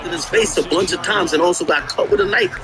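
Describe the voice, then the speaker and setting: A man's voice: high voice, quick pace, over music, low-quality recording. A man from Jamaica ranting about politics in a blunt, profane way, often stammering and restarting his sentences. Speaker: streamer. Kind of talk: casual political rant.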